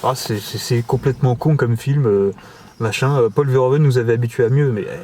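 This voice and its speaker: A man co-hosting a casual film review chat, animated and jokey, sometimes putting on quoted voices. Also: mid-range voice, a little fast. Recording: crowd noise behind.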